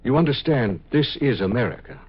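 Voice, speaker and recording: An actor playing a calm, detached scientist of the far future in a 1950s radio drama, speaking in a measured, clinical way. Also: male voice, medium pitch, slightly rough. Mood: calm, probing. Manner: measured, matter-of-fact, clinical. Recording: muffled 1950s radio recording.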